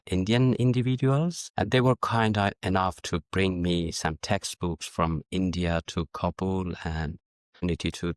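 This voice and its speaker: A middle-aged man from Afghanistan whose first language is Farsi, answering interview questions in a measured, reflective way and often restarting his sentences. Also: sits low, no echo, studio quality. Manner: measured, searching for words with frequent restarts. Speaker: guest, diplomat and educator.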